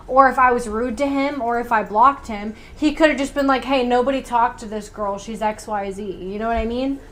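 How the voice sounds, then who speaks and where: Casual, high-voiced young-sounding woman streamer. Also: fairly bright tone. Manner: frequent "like" and "you know what I mean". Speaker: streamer giving her account. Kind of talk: casual conversational account.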